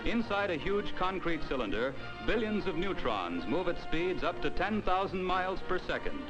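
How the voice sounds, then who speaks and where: A man's voice: high voice, sing-song, normal speed. A man reading scripted 1950s newsreel narration in an emphatic, declamatory way. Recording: dull old newsreel film soundtrack. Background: music.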